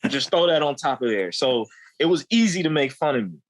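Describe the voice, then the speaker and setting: A man's voice: on the high side, lively intonation, brisk, poor audio. A young man in his twenties, a guest telling candid stories in loose, slangy conversation, often saying "you know what I'm saying.". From United States.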